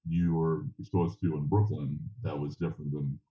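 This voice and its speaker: A man interviewing casually, asking conversational questions in a halting way with restarts. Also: very deep voice, flat delivery. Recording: dull roomy echoey sound.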